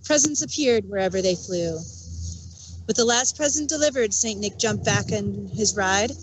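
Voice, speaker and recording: A woman narrator reading a storybook tale in rhyming verse with an expressive read-aloud cadence. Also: medium pitch, normal speed, noisy background.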